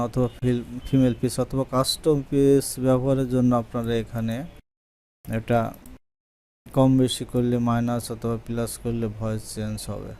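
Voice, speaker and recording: A man presenting a software tutorial in a casual screen-recording style. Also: mid-range voice.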